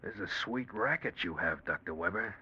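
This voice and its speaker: An actor playing a tough, streetwise gangster in a 1940s radio crime drama, husky, blunt and slangy. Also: male voice, fairly low pitch, animated, fairly quick, bright, forceful tone, exaggerated voice. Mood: resentful. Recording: muffled vintage radio drama recording.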